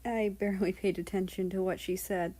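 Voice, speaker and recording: A woman narrating fan fiction aloud in an expressive amateur audiobook style. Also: mid-range voice, brisk.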